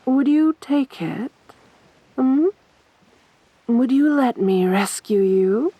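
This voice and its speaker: A woman playing a possessive, teasing siren in a soft, breathy voice for an ASMR roleplay, with a seductive, toying manner. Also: fairly high pitch, expressive, no echo, full character voice, rough recording. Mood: teasing, menacing. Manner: slow, seductive, toying, assertive. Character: beautiful sadistic siren, winged monster woman, teasing, possessive.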